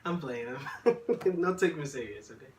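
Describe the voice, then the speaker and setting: A man's voice: a little high, expressive, moderate pace, a little mellow, echoey room. A man in his twenties from Haiti, a college student joining his sister's video, joking and teasing in a laid-back way. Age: 20s. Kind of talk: casual chat.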